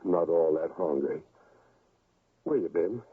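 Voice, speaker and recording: A weary, injured father, low and subdued. He speaks haltingly over a thin old radio broadcast with faint noise. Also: animated, slightly rough, dry recording, narrow, tinny audio, heavily acted. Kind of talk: radio drama acting.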